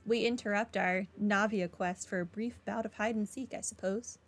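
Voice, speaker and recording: A woman's voice: mid-range voice, fairly even intonation, moderate pace. A woman giving casual, chatty commentary on her video game playthrough, with wry asides. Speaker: gaming streamer, let's player.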